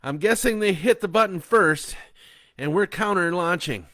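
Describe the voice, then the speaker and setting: A man's voice: sits high, lively intonation, normal speed, fairly bright tone, pitched above the performer's own voice. A man voicing a middle-aged father and grandfather, speaking in a strained, urgent way.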